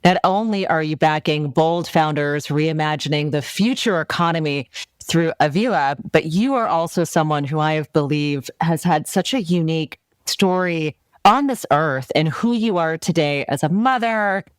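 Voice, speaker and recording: A woman hosting a podcast interview who heaps praise on her guest and asks slow, emphatic questions. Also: sits low, clear, resonant tone, no room sound. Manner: gushing, warm compliments, slow emphatic questions. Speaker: podcast host.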